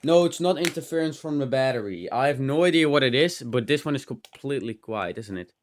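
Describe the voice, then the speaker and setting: A man's voice: mid-range voice, lively intonation, fairly quick, a little hiss or hum. A man giving a casual, unscripted hands-on gadget demo, rambling and thinking aloud with some mild swearing. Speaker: host of a tech teardown channel.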